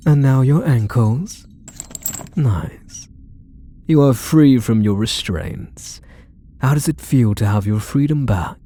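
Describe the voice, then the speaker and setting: Man acting a soft, possessive yandere husband. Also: medium pitch, takes it slowly. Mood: calm, controlling. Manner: cooing, coaxing, calls the listener pet names. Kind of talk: ASMR roleplay audio drama, intimate monologue to the listener.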